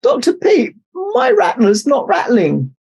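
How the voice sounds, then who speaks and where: A man's voice: extremely high pitch, wildly swooping pitch, unhurried, vocal fry, a little mellow, a little hiss or hum, voice pushed up, heavily acted. A man voicing an eager, plaintive male rattlesnake in a children's storybook, exclaiming dramatically. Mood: groaning.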